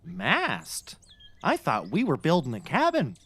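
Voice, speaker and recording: A woman's voice: lowish voice, huge pitch swings, slow pace, gravelly, fairly bright tone, breathy edge, heavily acted. A woman playing a reasonable, patient sidekick in a scripted comedy, tentative and matter-of-fact. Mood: puzzled, reasonable. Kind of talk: scripted comedy audio acting.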